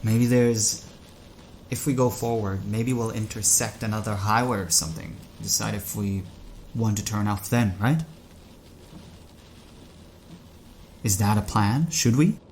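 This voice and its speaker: A man acting in an audio drama as a lonely, heartsick driver lost at night, speaking in a halting, questioning way. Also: on the low side, a little mellow, a little hiss or hum, natural voice. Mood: hesitant, wary.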